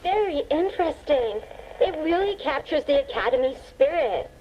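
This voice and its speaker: A woman's voice: high voice, lively intonation, moderate pace. A woman playing a polite, upbeat radio talk show host in a video game, heard through a radio filter. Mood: bright, interested. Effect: choppy radio filter. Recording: muffled lo-fi radio with faint noise.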